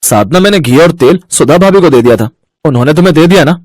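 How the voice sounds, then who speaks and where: A helpful family man in storybook dialogue, acted out by a man. His voice is on the high side with a very animated melody, recorded dry and close. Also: fast, no room sound. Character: helpful, plain-spoken man of the household.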